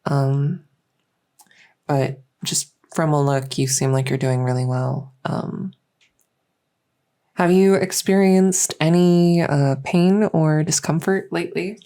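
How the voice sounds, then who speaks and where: Woman playing a low-voiced, unhurried, gentle doctor. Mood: friendly, reassuring. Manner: hesitant, reassuring, with frequent pauses. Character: gentle, reassuring female doctor in a fantasy transition clinic. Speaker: roleplay audio performer. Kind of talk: soft-spoken medical roleplay ASMR.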